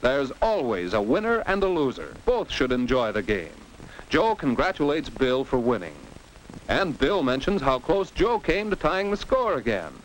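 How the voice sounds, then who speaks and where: A man's voice: medium pitch, very animated, normal speed. An American man giving scripted, didactic narration for a 1950s educational film for teens, in the manner of a commentator who poses questions and exclaims. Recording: noisy old 1950s film soundtrack.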